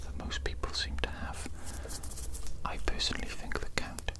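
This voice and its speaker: A shady mad doctor, played by a woman, murmurs in a breathy whisper for an ASMR roleplay. The delivery is formal and deliberate, with phrases gently repeated. It sounds courteous and eerily sympathetic, with an evil undertone. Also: natural voice. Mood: earnest, wistful.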